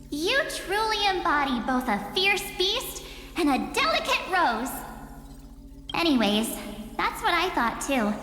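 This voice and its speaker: A woman's voice: sits high, fairly bright tone, in a large hall, full character voice. A woman playing a bubbly young photography-loving heroine in a video game, playful, boastful and heartfelt. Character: bubbly young heroine who loves photography and her journal. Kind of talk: English video game voice acting.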